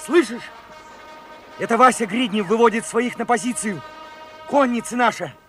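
A man's voice: very high voice, moderate pace, soft background music. An actor playing an eager, naive young soldier speaking to his father in a folksy, wondering way, in Soviet radio play style. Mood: urgent, excited.

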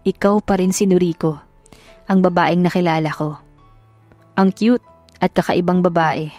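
A woman's voice: on the low side, fairly even intonation, moderate pace, mellow, no echo, faint music behind, close to an everyday voice. A woman voicing an amused, adoring young groom with a teasing, affectionate manner in an audio drama. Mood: amused, tender.

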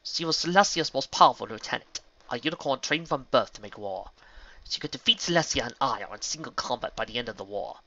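A man voicing a loud, imperious female pony princess who speaks in formal, archaic royal English in a storybook reading. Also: medium pitch, expressive, brisk, dry recording, highs cut off. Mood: solemn.

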